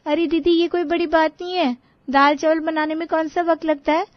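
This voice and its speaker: A woman's voice: extremely high pitch, level tone, brisk, dry recording, voice pushed up. A woman voicing a fed-up young daughter-in-law in a family story, grumbling and gossipy. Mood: complaining. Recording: dull lo-fi audio.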